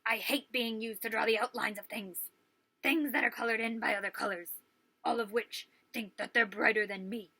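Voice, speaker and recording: A resentful picture book crayon, read by a woman, indignant and pleading its case. The voice is fairly high and bright, with a dry close sound. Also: steady tone, moderate pace, creaky, breathy edge, no echo, heavily acted, low-quality recording.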